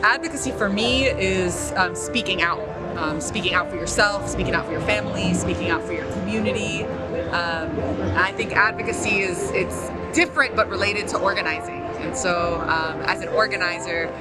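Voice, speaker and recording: A woman's voice: mid-range voice, moderate pace, over loud music. A woman community organizer explaining her views casually, with many ums.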